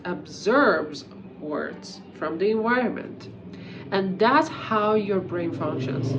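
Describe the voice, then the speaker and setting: A woman's voice: medium pitch, lo-fi sound, very noisy. A young woman whose first language is Persian gives an earnest, encouraging English lesson to camera, with casual fillers. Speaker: English teacher. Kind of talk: talking-head English lesson.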